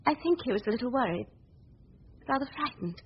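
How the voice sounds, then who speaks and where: An actress playing an earnest, anxious young woman journalist in a 1940s radio drama, speaking gravely and dramatically. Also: on the high side, expressive, a little fast. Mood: grave, fearful. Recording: muffled old 1940s radio recording.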